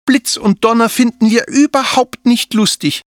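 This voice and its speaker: A storyteller voicing an excitable young girl dragon in a children's audiobook, high and bright. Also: moderate pace, dry recording, natural voice. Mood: earnest.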